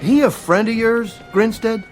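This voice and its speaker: A man's voice: high voice, steady tone, unhurried, faint music behind. An actor in a radio drama playing an easygoing, mildly sympathetic policeman who talks in a folksy, placating way. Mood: reassuring.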